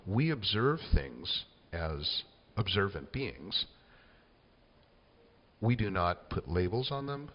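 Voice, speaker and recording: Low-voiced guy in his 60s rambling thoughtfully, lots of um and you know, echoey room. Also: slight background noise. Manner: musing, unhurried, many fillers. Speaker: channel host. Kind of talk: casual spiritual monologue. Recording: echoey, dull lo-fi room sound.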